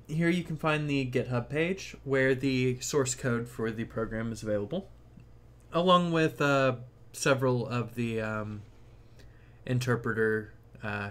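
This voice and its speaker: A man narrating a casual tech tutorial, explaining things informally step by step. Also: medium pitch.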